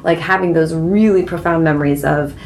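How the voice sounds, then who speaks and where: A woman's voice: sits low, steady tone, fairly quick, slight background noise. A woman hosting a podcast interview, asking casual questions and offering frequent affirmations. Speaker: podcast host.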